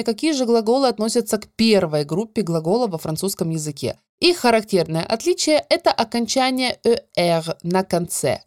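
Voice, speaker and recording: A woman teaching a French grammar lesson, explaining clearly and stretching out the example words. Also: mid-range voice, darkish tone, no echo, clean studio sound. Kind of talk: scripted grammar lesson narration.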